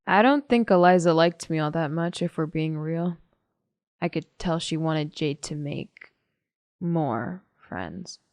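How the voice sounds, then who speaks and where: A woman's voice: deep voice, slow, a little mellow, dry recording. A young American woman playing a blunt, sarcastic teenage loner in a naturalistic audio drama. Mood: blunt, troubled. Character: blunt, sardonic teenage loner girl, guardedly loyal.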